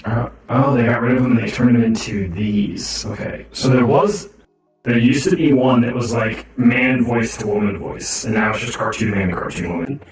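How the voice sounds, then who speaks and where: A man's voice: medium pitch, moderate pace, distant mic. A man co-hosting a podcast and game stream, chatting casually, playful and trailing off into fillers. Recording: echoey room, away from the mic, music behind.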